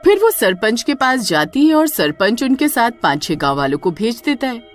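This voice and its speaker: A narrator reads a scripted story dramatically. The pitch moves in a lively way, at a middle height. Faint music plays underneath. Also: normal speed.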